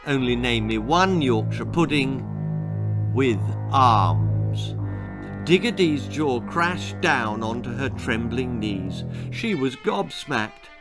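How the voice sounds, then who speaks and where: A man's voice: mid-range voice, big pitch swings, slow pace, over music. A man reading a scripted children's story as its narrator, in a theatrical, suspenseful and emphatic manner. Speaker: author reading his own children's story.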